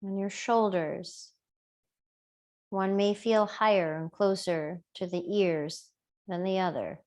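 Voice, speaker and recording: A woman teacher gently guiding a body scan meditation in an unhurried, soothing way with long pauses. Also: medium pitch, steady tone. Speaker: yoga and body awareness teacher.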